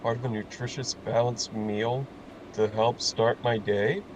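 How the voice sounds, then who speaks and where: A man with a mid-pitched voice trades dry wisecracks in a casual chat. A faint hum of noise sits behind him. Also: fairly even intonation, unhurried.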